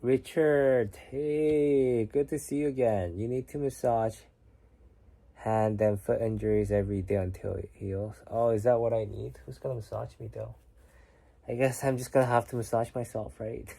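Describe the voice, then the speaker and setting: A man's voice: mid-range voice, steady tone, normal speed, creaky. A young man from Korea chatting casually to his stream at night, musing aloud and sometimes dropping to a whisper. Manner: soft-spoken, musing, sometimes drops to a whisper. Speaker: livestream host reading chat. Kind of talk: casual late-night chat. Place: home at night.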